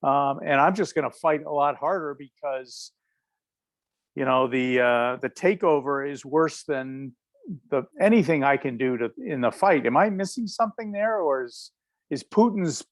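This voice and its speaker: A male panelist speaking over a video call at a middle pitch. He talks in a halting, searching way, full of pauses and restarts. The sound is dry and close. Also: dry recording. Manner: hesitant, halting with many fillers and restarts. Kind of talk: casual panel discussion.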